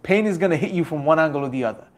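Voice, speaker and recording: A young man gives a motivational religious talk. His voice sits a little higher than most men's. He speaks earnestly and exhorts his listeners, building his points through rhetorical repetition. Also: animated, very fast.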